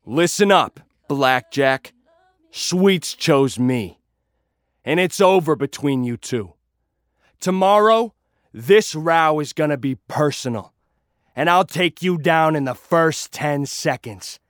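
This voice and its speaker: A man playing a swaggering young fighter in an audio drama, projecting loud, boastful taunts. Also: fairly high pitch, animated, takes it slowly, no echo, studio quality, pitched above the performer's own voice. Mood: boastful, threatening.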